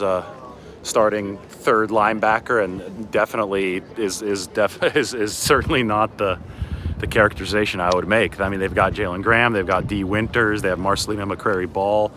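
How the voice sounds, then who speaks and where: Deep-voiced American man chatting outdoors. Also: fairly even intonation, moderate pace, fairly bright tone. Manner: rambling, chatty asides while on the move. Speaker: sports reporter hosting a livestream. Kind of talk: casual livestream chat. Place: outdoors on city streets by a river at dawn. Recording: outdoor city ambience with traffic noise.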